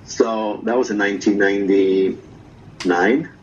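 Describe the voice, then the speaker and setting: A man from Norway, telling his story as a guest in a relaxed, casual chat and tagging his lines with "right?". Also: on the low side, slow, highs cut off, a little hiss or hum. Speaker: guest vlogger.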